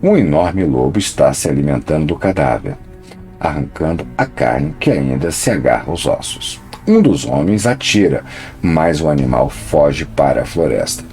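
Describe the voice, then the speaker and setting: A man narrating a scripted documentary in a measured, dramatic storytelling manner. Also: a bass voice, vocal fry, a little mellow.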